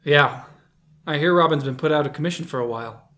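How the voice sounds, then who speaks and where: A man's voice: a little high, brisk, pushed and bright. A man acting an earnest, wary young hero in a fan audio drama.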